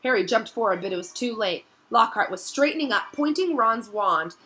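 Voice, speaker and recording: A woman narrating a novel aloud in an expressive, dramatic storytelling style that builds suspense. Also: on the high side, normal speed.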